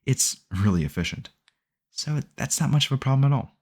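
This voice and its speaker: A man's voice: mid-range voice, animated, a little fast, darkish tone. A man playing a sweetly possessive, obsessive boyfriend in an ASMR audio roleplay, rambling earnestly and intimately to the listener. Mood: earnest, self-justifying. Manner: doting, rambling, earnest self-justifying, close and conversational.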